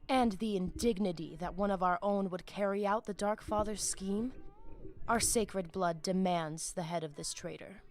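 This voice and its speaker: An actress voicing a cunning, imperious vampire plotter in a video game, solemn and grandiose. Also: female voice, mid-range voice, normal speed, a little mellow, lightly acted. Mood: righteous. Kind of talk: dramatic video game voice acting.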